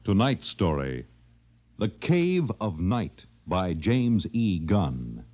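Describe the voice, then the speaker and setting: A man's voice: deep voice, sing-song, slow, darkish tone, slight background noise. A man announcing a 1950s radio series in a theatrical, scripted style. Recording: muffled 1950s broadcast with music.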